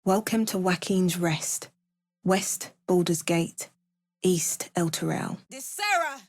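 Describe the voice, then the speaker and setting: A woman's voice: lowish voice, sing-song, slow pace, no room sound, full character voice. A woman playing an alert, determined adventurer in a video game, giving short remarks and dramatic spell incantations. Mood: wary, observant, then forceful, incanting. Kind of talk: video game character voice lines.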